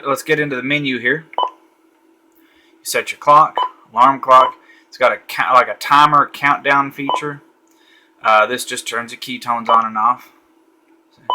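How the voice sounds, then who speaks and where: Bright-voiced man casually demoing a radio. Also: mid-range voice, a little hiss or hum, poor audio. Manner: easygoing, thinking aloud with frequent fillers. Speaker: radio reviewer. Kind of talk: casual product walkthrough. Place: home desk room at night, indoors.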